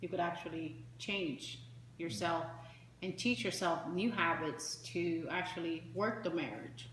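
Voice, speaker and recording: A woman's voice: on the low side, a little slow, fairly bright tone. A woman co-hosting a talk show, chatting casually and offering advice. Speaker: co-host of a marriage advice show. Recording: roomy echo with faint background noise.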